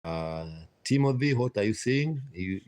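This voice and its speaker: A Kenyan man chatting casually with viewers on a live stream, pausing often on "uh" and repeating himself. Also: medium pitch, expressive, a little mellow. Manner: hesitant, frequent "uh" fillers, repeats himself.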